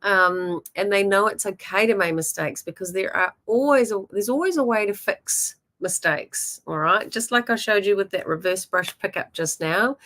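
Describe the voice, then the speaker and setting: Kiwi-accented woman, painting tutor, encouraging, rambly, mid pitch. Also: moderate pace, hardly any pauses, bright, forceful tone. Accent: New Zealand. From born in Germany, raised in New Zealand. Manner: chatty and encouraging, many ums and you-knows. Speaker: painting teacher. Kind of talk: casual teaching talk.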